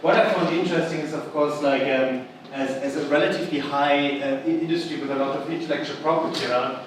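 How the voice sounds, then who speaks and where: A man's voice: fairly high pitch, steady tone, fast. Middle-aged German-accented man giving a chatty talk, noisy room in the background. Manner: chatty, many fillers and restarts. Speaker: university lecturer. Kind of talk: conference lecture. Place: large conference hall. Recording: echoey hall, voice from far off, background noise.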